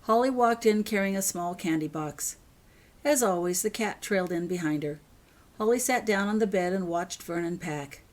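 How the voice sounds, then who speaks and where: Female author-narrator, lowish pitch, reading a scripted story. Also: normal speed.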